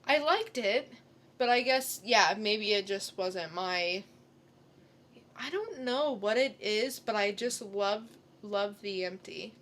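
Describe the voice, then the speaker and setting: Slow-talking woman, bright voice, casual podcast chat. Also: mid-range voice, lively intonation. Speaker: podcast co-host. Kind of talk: casual comic book chat.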